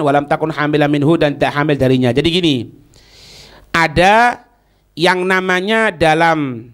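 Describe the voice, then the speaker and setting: A man with an Indonesian accent giving an Islamic lesson, reading a text and explaining it didactically with repeated phrases and checks on understanding. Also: fairly high pitch. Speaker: Islamic teacher.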